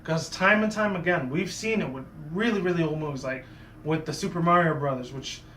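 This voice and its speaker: A man with a sore, hoarse voice, talking in a casual, rambling commentary vlog full of asides and restarts. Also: fairly high pitch, fairly quick, sharp tone, roomy sound, slight background noise. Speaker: YouTuber.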